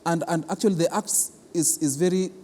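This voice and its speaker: A man with a Kenyan accent giving explanatory interview answers as an arts institution official, halting and deliberate, often repeating words for emphasis. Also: a little high, steady tone, dark tone. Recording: long reverberant hall, clear background noise.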